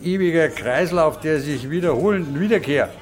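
An actor playing a pompous, mock-learned pub regular in an audio drama. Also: male voice, fairly high pitch, animated, moderate pace, natural voice. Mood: pompous musing. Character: pompous, tipsy male regular at philosophers' table.

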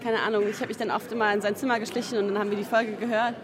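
A woman's voice: medium pitch, flat delivery, rapid-fire. A woman interviewed as a fan, chatting casually and spontaneously. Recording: busy crowd noise behind.

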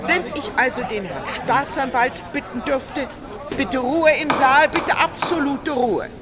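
Stern formal judge, highish voice, courtroom crowd noise, radio drama. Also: female voice, a little slow. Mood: formal, commanding. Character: formal, commanding female presiding judge. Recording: crowd murmur and music behind.

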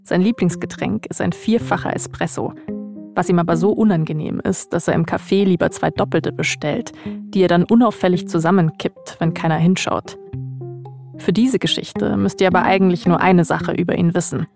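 Low-pitched woman narrator with creaky edge. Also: fairly even intonation, moderate pace, darkish tone, soft background music. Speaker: podcast host and narrator. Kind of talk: scripted podcast narration.

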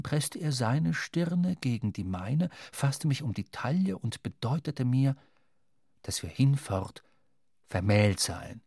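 A man playing a reflective seafarer who narrates his adventure in measured, expressive literary German audio drama style. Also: medium pitch, no echo, clean studio sound. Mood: reflective, fond. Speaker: first-person narrator. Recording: clear ambient noise behind voice.

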